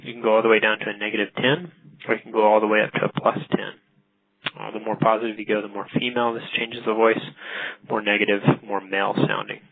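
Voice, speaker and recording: A man narrates a product tutorial in a casual, halting way. His voice is low and raspy with a creaky edge. It comes through a narrow, phone-like line. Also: little pitch movement. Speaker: product demonstrator.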